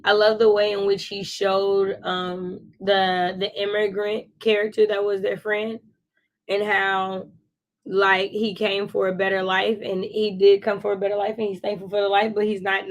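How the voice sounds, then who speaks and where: A woman chatting casually about books as a co-host, effusive and given to repeating phrases for emphasis. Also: mid-range voice. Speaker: book discussion co-host. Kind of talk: casual book chat.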